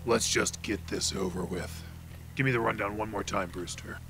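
A man's voice: lowish voice, fairly even intonation, normal speed, bright, forceful tone, slight background noise. A man playing a hardened veteran police detective with terse tough-guy slang in an old-time radio drama. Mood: reluctant.

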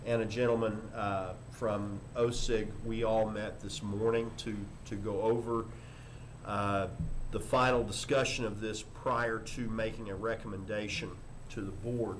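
An older man, a school superintendent, giving a formal report at a board meeting in a halting, measured way with frequent pauses. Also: fairly low pitch, level tone. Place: indoor board meeting room. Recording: noisy meeting room microphone.

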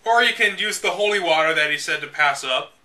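A man's voice: on the high side, animated, brisk, sharp tone, far from the mic. A man hosting a read-aloud of a game guide, delivering it dramatically and breaking off for casual, sarcastic, exasperated asides. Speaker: host reading a game guide aloud with commentary.

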